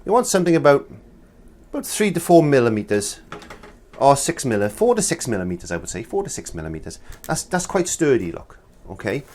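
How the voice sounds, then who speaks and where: A man's voice: medium pitch, sing-song, fairly quick, darkish tone. Middle-aged male art instructor, stuffy-nosed from a cold, rambling and friendly, lapel mic in a small studio. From Wales. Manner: chatty, rambling, many restarts and fillers. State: congested from a cold. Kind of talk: casual demonstration lesson.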